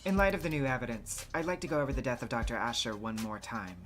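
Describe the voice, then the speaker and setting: A woman voicing a poised, formal courtroom prosecutor from a visual novel, measured and teasing. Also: rumbling low, lively intonation, faint music behind. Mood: formal, probing. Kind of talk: character acting in a visual novel playthrough.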